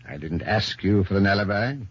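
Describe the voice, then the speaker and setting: An unflappable inspector in an old radio mystery. His low, somewhat rough-edged voice questions with dry, shrewd authority. The sound is dull, like a vintage broadcast. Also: brisk. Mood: probing. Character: shrewd, probing, unflappable male police inspector. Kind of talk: old-time radio detective drama acting.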